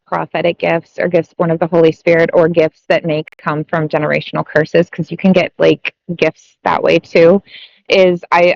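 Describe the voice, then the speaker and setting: Gravelly woman rambling in muffled audio. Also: lowish voice, dry recording. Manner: rambling, frequent restarts and swearing. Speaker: speaker sharing her story. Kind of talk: casual spoken monologue.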